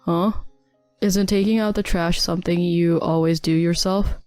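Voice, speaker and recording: A woman's voice: on the low side, steady tone, moderate pace, darkish tone, dry recording, soft background music, close to an everyday voice. Condescending young husband, voiced by a woman. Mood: scornful. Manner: curt, condescending. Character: dismissive young husband, cold and scolding.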